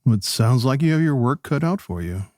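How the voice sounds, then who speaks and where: A man's voice: medium pitch, big pitch swings, brisk, darkish tone, dry recording, lightly acted. A man plays a courteous, chatty host in an old-time radio play. His mid-range voice leaps about with announcer flair. The sound is dry and close. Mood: chipper. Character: chatty, polite male 1910s radio show host. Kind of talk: radio play interview patter.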